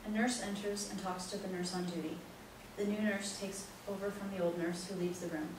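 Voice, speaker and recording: A woman's voice: mid-range voice, fairly even intonation, brisk. A woman narrator reading out the stage directions of a play as scripted narration. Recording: very echoey, distant, noisy room recording.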